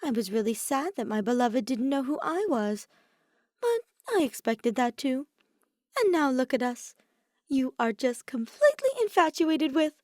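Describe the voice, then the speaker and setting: A woman plays a mischievous teenage boy in an audio story, his voice lifted high. He is dramatic and boastful, teasing as he talks of love. The sound is dry and close. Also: sing-song, normal speed, a little mellow, dry recording.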